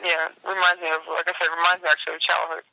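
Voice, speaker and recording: A man with a Russian accent, raised in Siberia, speaks in a high, bright, tense voice. He chats in halting, searching phrases and breaks into giggles often. The sound is dry and close. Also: monotone, gravelly, dry recording, poor audio. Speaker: obstacle racer, guest. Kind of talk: casual interview chat.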